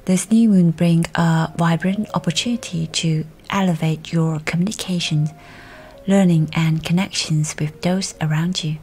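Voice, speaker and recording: A woman reading a scripted guided meditation in a soft, soothing, breathy delivery. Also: fairly low pitch, steady tone, unhurried. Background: music.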